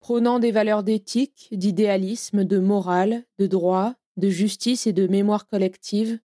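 A woman reading a formal, measured scripted introduction to an audio drama as its narrator. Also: mid-range voice, fairly even intonation, mellow, dry recording, studio quality. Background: music.

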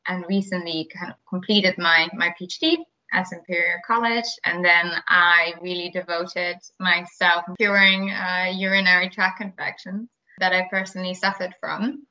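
A woman from Germany speaks with a German accent, explaining in a bright, slightly low voice. She repeats words and uses fillers as she goes. The recording sounds muffled and lo-fi. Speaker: guest, biotech founder. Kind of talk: interview answers.

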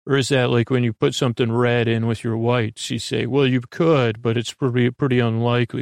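A man with a gravelly voice hosting a podcast, rambling through an unscripted bedtime monologue in a hesitant, self-deprecating, meandering way. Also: dry recording, clean studio sound. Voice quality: gravelly, creaky. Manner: self-deprecating, low-key. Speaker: podcast host.

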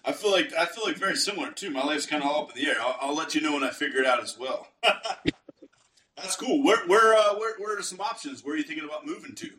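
A man's voice: on the high side, lively intonation, quick pace, pushed and bright. A man from the Pittsburgh area hosting a podcast, chatting casually and agreeably with frequent ums and restarts. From Pittsburgh area, United States. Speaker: podcast host. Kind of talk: casual interview chat. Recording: roomy, distant mic.

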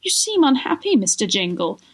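A woman's voice: high voice, sing-song, normal speed, breathy edge, pitched above the performer's own voice, full character voice. A woman reading a classic novel aloud, voicing a prim, agitated spinster aunt in a plaintive, genteel manner. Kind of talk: literary audiobook character reading.

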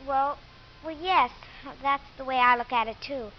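A high-pitched, precocious schoolgirl acted out by a woman in a 1950s radio play. She wisecracks matter-of-factly like a streetwise kid. The old recording is muffled, with light hiss. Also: moderate pace, natural voice. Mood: breezy, cheeky. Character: precocious, cheeky eleven-year-old girl, streetwise schoolgirl.

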